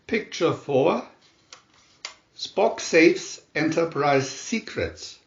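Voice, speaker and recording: Male narrator, slow and dramatic, lively swooping pitch, for picture-story booklet readings. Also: vocal fry. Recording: dull, echoey room recording.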